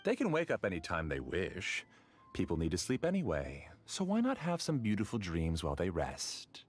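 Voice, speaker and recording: Deep-voiced, smug scholar villain. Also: male voice, huge pitch swings, normal speed, soft background music. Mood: calm self-justifying. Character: calm obsessive male scholar, self-justifying dream mastermind. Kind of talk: scripted video game dialogue acting.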